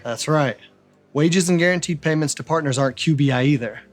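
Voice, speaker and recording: A man playing a charming, smooth-talking old-time gentleman who uses breezy, old-fashioned patter. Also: fairly high pitch, fairly quick, natural voice. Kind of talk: comedic noir skit acting.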